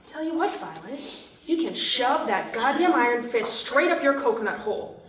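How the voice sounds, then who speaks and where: High-voiced, hot-tempered woman thief acted out by a woman. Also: expressive, moderate pace, fairly bright tone. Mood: bitter, mocking. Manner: sarcastic, swearing. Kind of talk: table read of a screenplay. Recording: roomy, distant mic, background noise.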